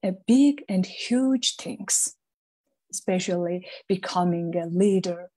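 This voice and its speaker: A woman speaks slowly in a warm, mellow voice. She gives an impromptu speech in a halting way, repeating words and tagging questions onto her sentences. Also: lowish voice, husky. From Mongolia. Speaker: club member giving an impromptu speech.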